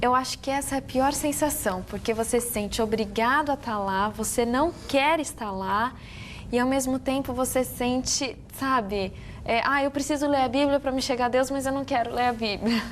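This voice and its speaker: A woman's voice: high voice, moderate pace, a little hiss or hum. Brazilian woman hosting a youth talk. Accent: Brazilian Portuguese. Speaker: host. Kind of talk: casual panel chat.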